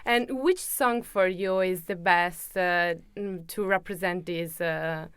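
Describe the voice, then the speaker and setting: A woman's voice: fairly low pitch, takes it slowly, a bit bright, clear, resonant tone, dry recording. A woman interviewing a musician, asking friendly questions with many hesitations and fillers. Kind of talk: casual interview.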